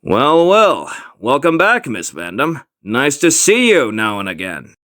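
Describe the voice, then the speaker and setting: Guy as strict sarcastic history teacher, dry, medium pitch but really animated, cartoony dub. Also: a little slow. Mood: mock friendly. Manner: dry, sardonic. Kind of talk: comic fandub acting.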